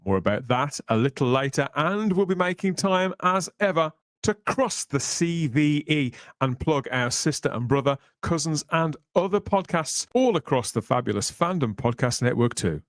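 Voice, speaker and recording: A man's voice: fairly high pitch, expressive, moderate pace, few pauses, no room sound. Male podcast host with a dry, close sound. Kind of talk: conversational hosting with scripted, polished narration.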